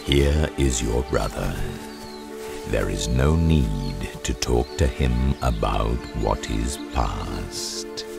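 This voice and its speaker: A majestic, wise lion in a fantasy radio drama. The voice is extremely deep, gravelly and very slow, regal and solemn over music. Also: male voice, heavily acted. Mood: gentle, solemn.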